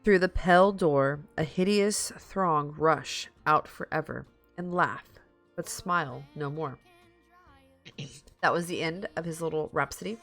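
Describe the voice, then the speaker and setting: A woman streamer reading a story aloud in a dramatic, halting way, slipping into casual asides. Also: sits low, fairly bright tone, soft background music. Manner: halting, repeats and corrects stumbled words.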